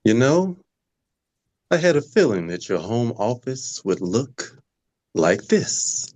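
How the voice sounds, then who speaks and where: A man acting an observant, unhurried patient in a drama table read, speaking slowly and deliberately. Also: mid-range voice, very animated, a little mellow. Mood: cool, appraising.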